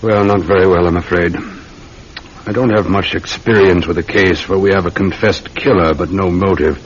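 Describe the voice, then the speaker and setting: An actor playing a courteous, patient police sergeant in a radio drama, with a measured, methodical manner. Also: male voice, low voice, steady tone, natural voice. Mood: deferential. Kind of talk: old-time radio drama acting. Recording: dull, noisy old radio recording.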